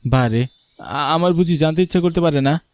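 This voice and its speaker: A man voicing a caring young husband and son in a cartoon story, coaxing and reasoning gently. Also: fairly high pitch, moderate pace, no echo, close to an everyday voice. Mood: persuasive, gentle. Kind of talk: animated story character acting.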